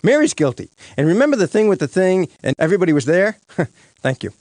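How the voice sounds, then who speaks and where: Bumbling cartoon attorney, smug and rambling, high voice swooping about, dry recording. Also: male voice, big pitch swings, fast, darkish tone, no echo. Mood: silly, smug. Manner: rambling, chuckling, showy. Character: bumbling, excitable cartoon lawyer, silly and smug.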